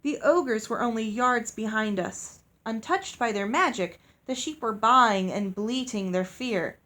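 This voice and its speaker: A woman's voice: a little high, a little slow, pushed and bright. A woman reading a story aloud as narrator. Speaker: audiobook reader.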